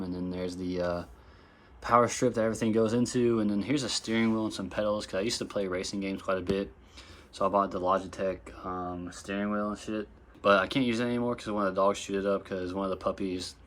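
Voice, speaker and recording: A young man, hoarse, talking casually and swearing as he shows his gaming setup in a phone-recorded vlog. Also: low-pitched, slight background noise. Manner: casual, swears often, lots of fillers. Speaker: gaming vlogger. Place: bedroom at home. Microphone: phone camera.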